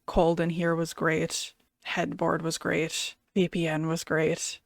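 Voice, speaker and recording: A woman's voice: low voice, monotone, takes it slowly, vocal fry, no echo, clean studio sound. A woman giving casual, musing commentary as she reviews an album, sometimes searching for her words. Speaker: music reactor and reviewer. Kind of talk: casual album reaction commentary, some reading aloud.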